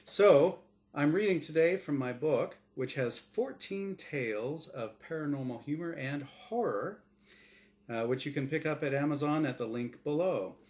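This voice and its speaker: A man's voice: on the high side, unhurried, a little mellow. A man reading his own fiction aloud as a dramatic scripted narration. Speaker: author reading his own short story.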